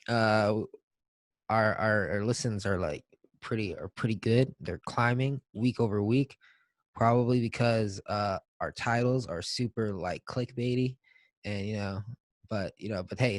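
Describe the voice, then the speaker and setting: Low-voiced man, casual podcast co-host. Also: no echo. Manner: profane, slangy. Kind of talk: casual comedic podcast chat.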